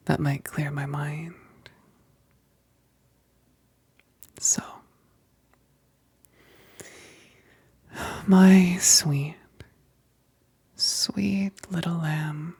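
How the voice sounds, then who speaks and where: A woman acts out a female vampire in an intimate ASMR roleplay, tender yet menacing. Her low voice is extremely breathy, mostly a whisper with a crackle of fry. She speaks haltingly, as if to a close friend. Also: darkish tone, dry recording, heavily acted. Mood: hungry, coaxing. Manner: slow, halting, intimate, with sighs.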